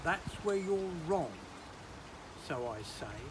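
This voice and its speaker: A performer playing a playful village narrator, delivering an arch, deliberate rhyming prologue to an audience in a theatrical monologue. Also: mid-range voice, very animated. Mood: teasing. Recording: noisy amateur online recording, rain-like hiss.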